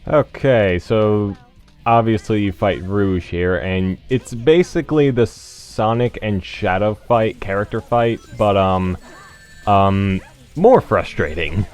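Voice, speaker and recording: Low-voiced man doing casual game commentary. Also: slow pace, darkish tone, clean tone. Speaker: let's play host. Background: game music.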